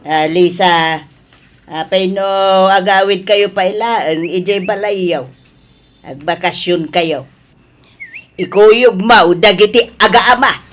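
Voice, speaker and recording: Slow-talking, nagging older Ilocano mother-in-law played by a woman. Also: mid-range voice, close to an everyday voice. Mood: concerned, coaxing. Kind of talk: radio drama acting.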